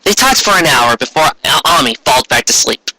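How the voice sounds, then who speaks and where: Bright, animated man reading a story. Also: on the high side, brisk, husky, poor audio. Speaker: fan fiction reader. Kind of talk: comic dramatic story reading.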